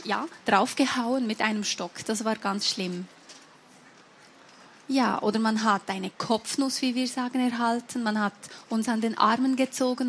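A woman from Switzerland with a Swiss German accent and a slightly raised voice. Her first language is sign language. She tells personal stories to a live audience in a careful, measured way, pausing often to find her words. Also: on the high side, slight background noise. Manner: measured, deliberate speech with frequent hesitations. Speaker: guest speaker at a book fair event.

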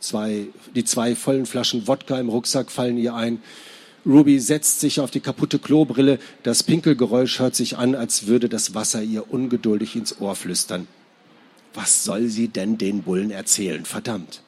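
Guy reading a novel out loud, kinda husky rough voice, medium pitch. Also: steady tone, moderate pace. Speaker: author reading from a novel at a public reading. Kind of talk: literary prose reading.

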